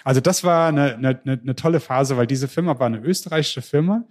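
German male podcast guest, average pitch, animated storyteller who often restarts his sentences. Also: a little fast. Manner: lively storytelling with frequent restarts. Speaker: interview guest. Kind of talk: casual podcast interview.

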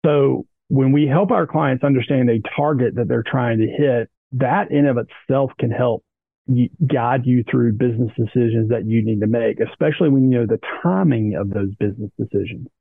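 A man, a business advisor and interview guest, explaining finances in a measured way, often saying "you know" and "kind of". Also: medium pitch, vocal fry, breathy edge, no room sound. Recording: dry tinny phone-like line.

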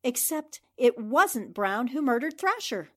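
A probing newspaper reporter in a forties radio play. Her voice is fairly high, and she delivers questions and deductions with incisive confidence. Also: big pitch swings, unhurried. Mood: assured. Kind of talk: 1940s radio drama acting.

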